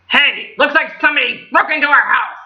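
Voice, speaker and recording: A woman's voice: high-pitched, brisk, dull audio, pitched above the performer's own voice, natural voice. A woman voicing little male dwarfs in a storybook tale, with exaggerated cartoonish manners. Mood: alarmed. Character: seven little male dwarfs, eager cartoonish varied tempers.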